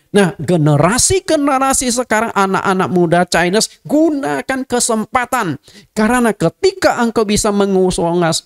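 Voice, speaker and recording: Indonesian-born Hakka pastor preaching. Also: male voice, high-pitched. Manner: conversational, addresses congregation directly. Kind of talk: sermon teaching.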